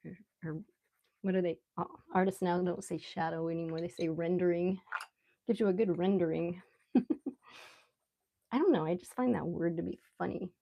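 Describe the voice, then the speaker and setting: A woman with a mellow, gravelly fry in her relaxed voice. She hosts a casual art live stream, thinking aloud in an unhurried, rambling way as she draws. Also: lowish voice, muffled recording. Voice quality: relaxed, soft-edged voice. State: relaxed, mildly sedated after anesthetic. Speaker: live stream artist host. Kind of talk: casual live stream chat while drawing.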